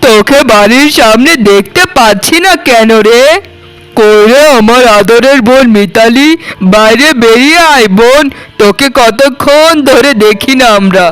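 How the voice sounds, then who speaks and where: A man's voice: extremely high pitch, measured pace, a bit bright, clear, resonant tone, no room sound, low-quality recording. A man voicing a doting, worried village brother in a melodramatic cartoon, anxious and overprotective. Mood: affectionate calling.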